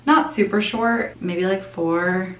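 A woman's voice: medium pitch, takes it slowly. Chatty young woman vlogging, roomy sound. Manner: chatty, lots of "like" fillers. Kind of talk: casual vlog chat. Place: small apartment bathroom and car.

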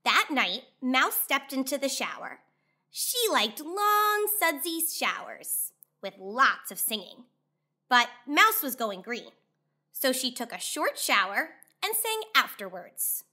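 A woman in her thirties hosting a children's read-aloud, narrating expressively with clear, deliberate delivery. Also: high voice, sing-song, pushed and bright.

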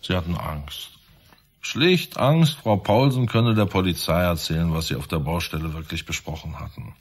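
A low male voice as a police inspector in a radio crime play. He is insistent and sardonic, pressing his suspects with pointed questions. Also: expressive, normal speed, husky, exaggerated voice. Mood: sardonic, accusing. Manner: probing, sarcastic, insistent. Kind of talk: radio crime drama acting.

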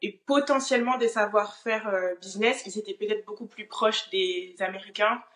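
A woman's voice: medium pitch, moderate pace, fairly bright tone. French woman in her thirties, interview guest on a video call, hesitant with fillers, roomy echo. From France. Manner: thoughtful, many fillers. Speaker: guest, online business manager. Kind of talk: casual interview answers.

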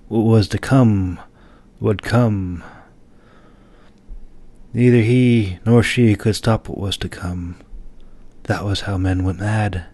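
Male audiobook narrator, fairly deep, dramatic scripted fantasy storytelling, dry sound. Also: darkish tone, dry recording.

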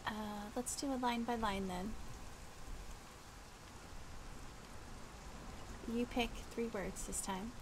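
A woman voicing a patient, reassuring woman friend in a fantasy game roleplay, speaking haltingly and gently. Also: a little high, fairly even intonation, close to an everyday voice. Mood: encouraging. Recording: clear rain noise behind voice.

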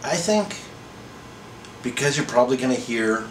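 Middle-aged man, casual story reader, unhurried, echoey room, faint noise. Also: mid-range voice, lively intonation, fairly bright tone. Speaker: storyteller reading aloud. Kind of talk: casual chat and informal story reading. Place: small indoor room. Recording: roomy echo, faint background noise.

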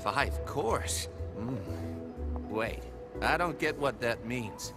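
A man's voice: mid-range voice, lively intonation, slow pace, over music. A man playing a brash, crude young assassin in a game cutscene, cocky and exaggerated. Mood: flustered. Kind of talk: video game cutscene acting.

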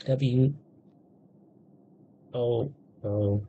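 A man in his thirties giving casual, halting let's-play commentary, thinking aloud as he plays. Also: mid-range voice, dark tone, highs cut off. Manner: halting, thinking aloud, spelling out what he types. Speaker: gaming video host. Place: indoors at a computer. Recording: faint background noise, game ambience.

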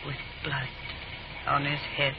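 A woman's voice: rumbling low, completely flat delivery, slow pace, background noise, heavily acted. A haughty female fortune-teller speaks with solemn, theatrical mystery. It is old radio acting on a dull, limited recording. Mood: solemn, ominous.

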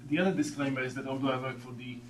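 A man's voice: medium pitch, fairly even intonation, racing. A middle-aged man from Slovakia with a Slovak accent, giving an academic lecture in a seminar room and often repeating words as he searches for the next phrase. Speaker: guest lecturer. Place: seminar room with a long table. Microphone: far room camera. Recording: echoey room from far off, background hum.

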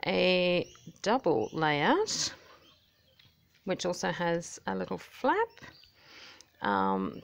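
A woman's voice: lowish voice, clear, resonant tone. A woman with an Australian accent, chatting bubbly and casually about the craft classes she teaches, with frequent ums. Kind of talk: casual promo chat over craft footage.

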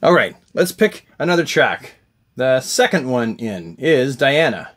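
A man's voice: medium pitch, big pitch swings, a little slow, over music. A middle-aged man giving casual, jokey unboxing commentary to camera, effusive and repeating words for emphasis. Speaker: host of a comics collecting channel. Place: small indoor room packed with collectibles.

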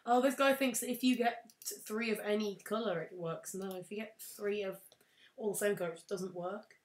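A young woman casually commentating a game, excitable and prone to shouting at wins and losses. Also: medium pitch, normal speed. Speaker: let's play host. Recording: roomy echo.

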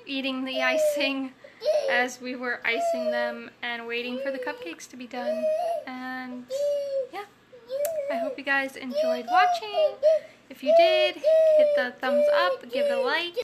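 A young woman in her twenties, a mom vlogger, chatting casually to her camera and toddlers at home. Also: high-pitched. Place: small home kitchen and playroom, indoors. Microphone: camera on a tripod. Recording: noisy home video.

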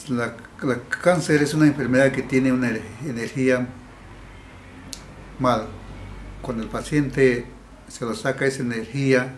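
Slow, halting man in echoey room. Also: mid-range voice, fairly even intonation. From Peruvian Amazon. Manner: halting, with frequent restarts and repeated words. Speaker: healer interviewee. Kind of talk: interview answers. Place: indoor room. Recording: echoey room, faint background hiss.

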